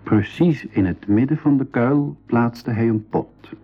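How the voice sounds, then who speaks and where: An actor playing a thoughtful nephew who narrates his world journey in a measured way, with a Belgian Dutch accent, in a school radio play. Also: mid-range voice, slightly rough. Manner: measured, storytelling. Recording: phone-like, narrow 1980s radio recording.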